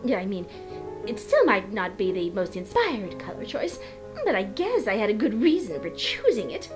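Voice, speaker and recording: A woman's voice: medium pitch, very animated, normal speed, faint music behind, natural voice. A woman voicing a self-doubting, emotional cartoon pony dressmaker in a dramatic fan fiction reading. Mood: subdued, doubtful. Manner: emotional, theatrical.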